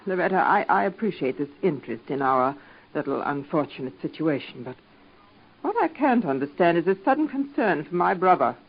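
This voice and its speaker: An actress in an old radio soap playing a worried, haughty middle-aged mother with a husky voice and an imperious, scolding manner. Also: sits low, big pitch swings, fairly quick, heavily acted. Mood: grudging. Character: worried older English village matriarch, sharp and haughty. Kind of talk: 1940s radio soap acting. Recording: dull old radio drama recording.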